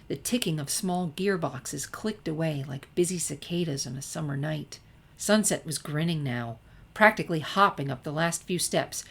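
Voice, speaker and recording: A woman narrator with a low-pitched voice reads fiction aloud. Her delivery is scripted story narration, told in the manner of an audiobook. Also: gravelly, fairly bright tone, breathy edge. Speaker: narrator, fan fiction reader.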